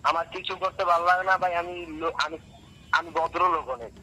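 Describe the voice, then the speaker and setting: High-pitched, sharp-voiced Bangladeshi man on phone. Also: steady tone. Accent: Bangladeshi Bengali. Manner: repeats himself, halting colloquial speech. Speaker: prank call recipient. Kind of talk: casual phone conversation. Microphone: telephone. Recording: tinny phone call with faint noise.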